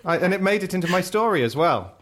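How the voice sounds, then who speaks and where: A man's voice: high voice, animated, fast, background noise. A man chatting casually in a slightly hesitant, self-correcting way. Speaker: cast member of an audio drama show. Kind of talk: casual chat.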